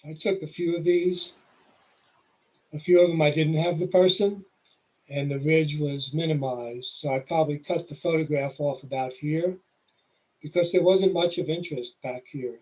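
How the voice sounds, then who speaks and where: A middle-aged man with a New York accent, explaining nature photography while walking through his slides in a relaxed, explanatory way. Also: a little high, poor audio. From New York City. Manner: relaxed, explanatory, with occasional fillers. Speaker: photography presenter. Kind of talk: slide presentation lecture.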